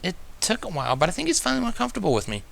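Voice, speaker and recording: A man's voice: high-pitched, wildly swooping pitch, very fast, creaky, fairly bright tone, voice pushed up, heavily acted. A man voicing a fussy, exasperated young female unicorn scholar in a story reading. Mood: flustered. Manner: pointed, fussy, protesting.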